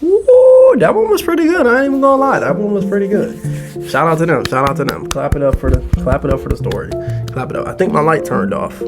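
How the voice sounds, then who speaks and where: A man's voice: sits high, big pitch swings, quick pace. A man casually addressing his viewers as host, playful and prone to repeating himself. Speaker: host reading horror stories. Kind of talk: casual video sign-off to viewers. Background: plucked guitar music.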